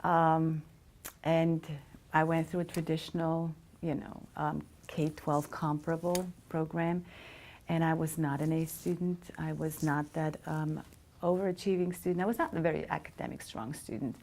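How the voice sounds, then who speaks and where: A woman's voice: low voice, fairly even intonation, normal speed, a little mellow. A middle-aged woman from Switzerland, telling her life story in an interview with frequent hesitations. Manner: reflective, many hesitations. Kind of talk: casual storytelling.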